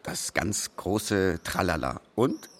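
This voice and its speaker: An actor playing a record producer proudly recalling a star's success in a wry, colloquial radio play narration. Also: male voice, low voice, sing-song, slow pace, heavily acted.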